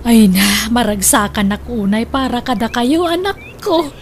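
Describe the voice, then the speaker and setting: A woman with an Ilocano accent playing a humble, protective older mother in a radio drama, expressive and full of interjections. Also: fairly high pitch, measured pace, slight background noise. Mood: tender, moved.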